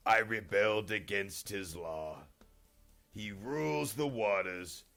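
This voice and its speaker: A man's voice: fairly low pitch, bright, forceful tone, heavily acted. A man voicing the ghost of an ancient poet, a grave prophetic guide, declaiming solemnly in an epic poetry reading. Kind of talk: dramatic storybook poetry reading.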